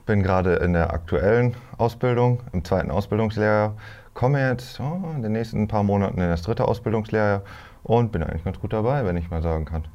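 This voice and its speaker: A man's voice: fairly low pitch, a little fast, a little mellow, dull audio. A man in his thirties chatting casually as a trainee guest on a podcast. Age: 30s. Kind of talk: casual chat.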